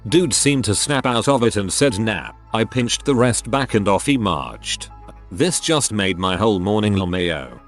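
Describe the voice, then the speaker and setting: A man reading online stories aloud in a steady, even scripted narration. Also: on the low side.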